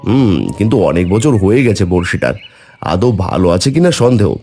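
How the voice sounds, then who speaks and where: A man's voice: on the low side, lively intonation, fairly quick, a little mellow, soft background music, lightly acted. A man voicing a doubtful, homely village father in a cartoon story. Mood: hesitant, resolving. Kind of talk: Bengali cartoon story acting.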